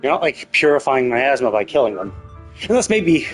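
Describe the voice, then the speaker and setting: A man's voice: mid-range voice, very animated, a little fast. A man giving casual, speculative live game commentary as a streamer, often checking himself with "right?". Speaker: game livestreamer. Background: game music.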